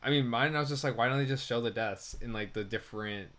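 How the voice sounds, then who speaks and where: A man's voice: medium pitch, fast talker, fairly bright tone. A man with a mid-range voice talks as a podcast co-host reviewing films. His delivery is informal and slangy, like loose chat between friends. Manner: informal slangy talk, peppered with "like" and "dude". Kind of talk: casual film review chat.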